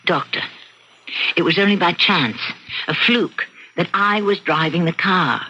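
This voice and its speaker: Radio mystery casting: restless, argumentative wife with murder in mind, keen bright voice, muffled noisy vintage recording. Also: female voice, fairly low pitch, unhurried, slightly rough, exaggerated voice. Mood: coldly resolved. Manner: argumentative, self-justifying. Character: bored, defiant housewife confessing murderous wishes to her psychiatrist. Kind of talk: old-time radio mystery acting.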